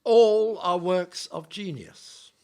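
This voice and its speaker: A man plays a vain Elizabethan playwright in a comic audio play. His voice is high with a creaky edge, and he speaks slowly, with pompous, condescending airs. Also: darkish tone. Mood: haughty. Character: vain, haughty male Elizabethan playwright, easily offended.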